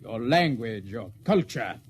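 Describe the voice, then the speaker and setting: An actor playing a cool, haughty alien spy posing as a lieutenant, speaking formally with an American accent in 1950s radio drama style. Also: male voice, medium pitch, big pitch swings, slow pace. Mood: smug, condescending. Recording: noisy vintage radio drama recording.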